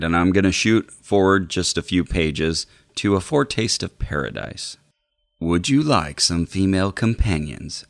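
Male podcast co-host for literary readings. Very low pitch, close dry sound, measured delivery. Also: gravelly, a bit bright, no room sound. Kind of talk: dramatic reading of book passages.

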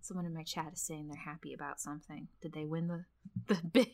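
A woman hosting a podcast in casual, animated banter with an incredulous, giggly manner. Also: sits low, fast. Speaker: podcast host.

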